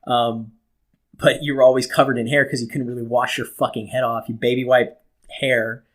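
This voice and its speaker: A man's voice: medium pitch, flat delivery, normal speed. A young American man talks in a loose, rambling way, like a podcast co-host spinning a story for a friend. He swears freely and keeps things comic. He slips into quoted dialogue as he tells it. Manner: rambling, acts out quoted dialogue, laughs at his own stories. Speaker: podcast co-host telling stories. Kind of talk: casual, profane comic storytelling.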